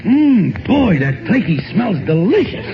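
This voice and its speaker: Sly, high-voiced radio sitcom neighbor. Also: male voice, very animated, measured pace, over music, full character voice. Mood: ingratiating. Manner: folksy, ingratiating. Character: sly, folksy, wheedling neighbor and rival husband. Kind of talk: 1940s radio sitcom acting. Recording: muffled old radio, studio audience noise.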